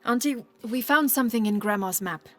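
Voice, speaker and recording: A woman's voice: on the high side, a little fast, fairly bright tone, close to an everyday voice. A woman playing a playful, cheeky, impatient young villager in a video game, with a casual, teasing way of talking. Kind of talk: cinematic video game acting. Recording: music behind the voice.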